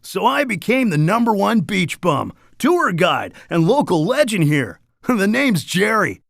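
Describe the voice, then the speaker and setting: Game's island tour guide: breezy, boastful surfer dude, gravelly edge, wildly animated delivery. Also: male voice, high voice, unhurried, dry recording, clean studio sound, full character voice. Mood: breezy, welcoming. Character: laid-back, friendly cartoon beach bum island tour guide. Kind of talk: scripted game character dialogue.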